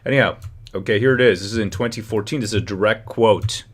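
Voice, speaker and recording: Low-voiced man hosting a commentary stream. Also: fast, breathy edge. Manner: emphatic, talks straight to viewers. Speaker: livestream host. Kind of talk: political commentary livestream.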